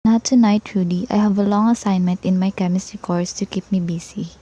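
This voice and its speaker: A woman with a husky voice playing an exasperated, studious older sister in an amateur radio play. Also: medium pitch, fairly even intonation, a little fast, dark tone, natural voice. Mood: dismissive.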